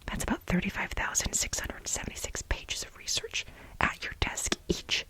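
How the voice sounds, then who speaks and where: A woman playing a frantic, aggrieved overworked bioengineer in a soft-spoken ASMR role play, with breathy whispering and halting, repeated words for dramatic stress. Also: lightly acted. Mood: indignant, insistent. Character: frantic, aggrieved overworked female bioengineer pleading with her boss.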